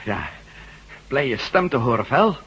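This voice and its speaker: A man's voice: medium pitch, lively intonation, a little slow, highs cut off, close to an everyday voice. A man acting an urgent, commanding adventurer leading a resistance team in a Dutch radio drama. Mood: anxious, relieved. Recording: very noisy radio drama recording.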